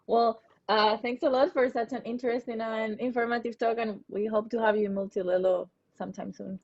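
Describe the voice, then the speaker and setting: Female podcast interviewer, polite and brief; raspy voice, dull lo-fi recording. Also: fairly high pitch, steady tone, fairly quick. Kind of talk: interview questions. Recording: dull lo-fi sound.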